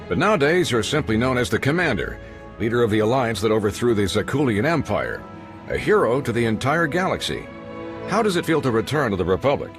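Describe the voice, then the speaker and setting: An actor playing a personable, showy galactic news reporter and host in a video game, with polished delivery. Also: male voice, medium pitch. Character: smooth, chatty male galactic news reporter and show host.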